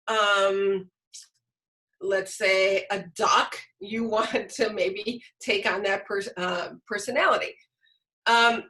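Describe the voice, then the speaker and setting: A woman giving an informal instructional presentation, haltingly searching for her words. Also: mid-range voice, pushed and bright. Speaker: teacher leading a training session.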